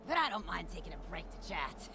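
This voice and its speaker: A woman's voice: lowish voice, creaky. Folksy, easygoing ghoul handywoman. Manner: folksy, chatty. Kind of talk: video game character dialogue. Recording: noisy game ambience behind voice.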